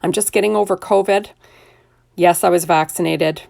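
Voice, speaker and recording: Older woman host, low husky rough voice with fry, chatty self-deprecating craft tutorial. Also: steady tone, moderate pace, a little mellow. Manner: chatty, self-deprecating, narrates each step with "there we go". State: hoarse, recovering from illness. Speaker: card-making video host. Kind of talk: casual crafting tutorial narration.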